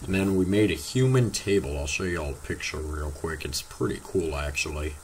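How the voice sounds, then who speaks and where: A teenage boy, slightly hoarse from losing his voice, chatting casually to his viewers in a rambling, halting way full of fillers. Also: rumbling low, a little hiss or hum. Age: teens. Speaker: vlogger. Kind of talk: casual vlog chat to viewers.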